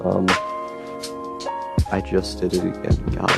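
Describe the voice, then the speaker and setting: A young man talking to camera in a rambling monologue with a droning, monotone voice, full of ums, restarts and frustrated swearing. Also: sits low, slow, creaky, loud music behind the voice. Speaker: YouTuber. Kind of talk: personal monologue.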